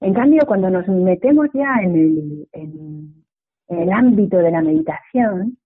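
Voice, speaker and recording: A woman's voice: on the low side, animated, unhurried, husky. A woman from explains things reflectively as an interview guest, often checking for agreement at the end of phrases. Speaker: mindfulness teacher, interview guest. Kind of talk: radio interview, explanatory conversation. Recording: dull lo-fi radio sound.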